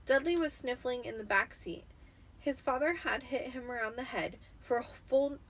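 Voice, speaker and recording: A woman's voice: a little high, rough recording. A tipsy woman giving an amateur dramatic reading of a storybook. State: tipsy after drinking wine.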